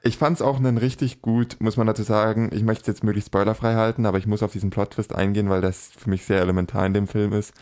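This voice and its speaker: A man's voice: lowish voice, level tone, fast talker, few pauses, darkish tone. A young man in casual podcast chat, reviewing a film with rambling, self-correcting, emphatic talk. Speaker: podcast co-host.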